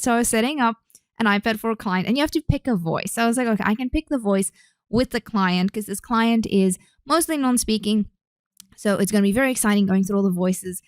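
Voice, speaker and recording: A woman's voice: mid-range voice, smooth, no echo. A young Australian woman hosting a casual solo podcast as a speech therapist, chatty and rambling with many fillers. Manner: chatty, rambling, with frequent fillers and self-corrections.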